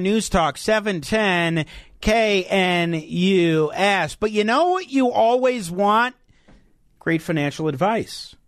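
High-voiced talk radio host, dry studio. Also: male voice, a bit bright, no echo. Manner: conversational, emphatic, opinionated. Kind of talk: talk radio commentary and interview. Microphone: studio microphone.

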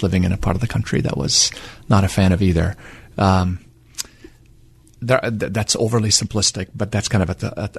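A man's voice: low-pitched, gravelly, breathy edge. A man from Lebanon whose first language is Arabic, speaking in a light Lebanese accent as a radio guest, earnest and thoughtful, with many fillers and restarts. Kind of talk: conversational interview.

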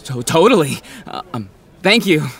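A man playing a sweet, bashful high school boy in podcast drama, hesitant and stammering. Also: big pitch swings, normal speed, a bit bright. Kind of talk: naturalistic fiction podcast acting. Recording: clearly noisy background.

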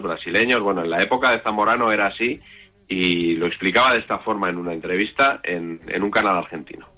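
A man with a fairly low, bright-edged voice hosts a sports radio talk show. He leads the chat with wry, rambling anecdotes.

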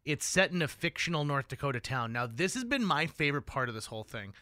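A man with a bright, punchy voice works as a radio host in a dry studio. He talks in an upbeat, enthusiastic way and leans on key words with emphasis. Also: fairly high pitch, expressive, quick pace, no room sound. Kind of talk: upbeat radio interview chat. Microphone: close studio microphone.

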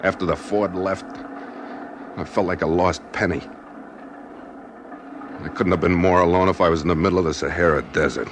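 An actor playing a brooding, desperate drifter on the run in a 1940s radio noir drama, by turns narrating and pleading. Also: male voice, low-pitched, steady tone, normal speed, pauses often. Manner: brooding, world-weary, pleading. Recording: noisy 1940s radio recording.

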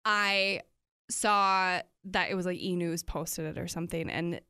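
A woman in her thirties co-hosting a casual chat, telling stories with lively acted-out reactions. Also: on the low side, a little slow, clean tone, no room sound, studio quality. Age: 30s. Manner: animated storytelling, acts out her own reactions.

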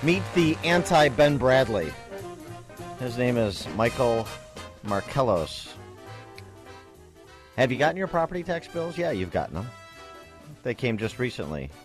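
A man's voice: medium pitch, sing-song, measured pace, long pauses, faint music behind. A man hosting a morning talk radio show, chatting casually and wryly about the news. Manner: wry, conversational, rattles off phone numbers. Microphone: studio microphone.

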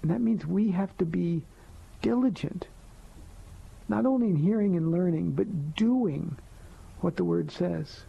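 A soft-spoken man with a gravelly, husky voice, pitched a little high for a man, hosts a live call-in show as a pastor. He answers listener questions gently and earnestly, leaning on slow emphatic phrases. The sound is a dull, lo-fi radio broadcast. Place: radio studio.

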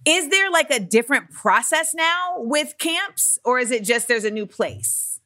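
A woman's voice: high voice, animated, unhurried, pushed and bright. A woman who was once a gymnast, interviewing in a casual, chatty way, swearing now and then and laughing often.

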